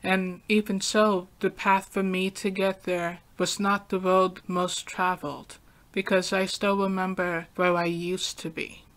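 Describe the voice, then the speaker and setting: A young adult woman hosting a podcast, talking through a calm, soft-spoken, reflective solo monologue. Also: lowish voice, unhurried. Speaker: podcast host.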